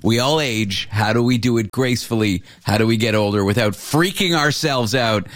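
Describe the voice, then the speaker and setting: A man's voice: medium pitch, normal speed, a bit bright, no room sound. A man in his late thirties hosting a talk radio show with casual, joking, self-deprecating chat, speaking close to a studio microphone. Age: late 30s.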